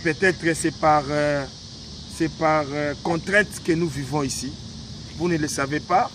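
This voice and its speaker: A man's voice: a little high, steady tone, a little slow. Earnest Congolese man by a busy road. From Democratic Republic of the Congo. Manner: earnest, hesitant, many fillers and restarts. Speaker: vlogger giving political commentary. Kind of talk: casual outdoor talk to camera. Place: outdoors by a small lake in a city. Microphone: camera held by a cameraman. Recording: outdoor video with loud traffic noise.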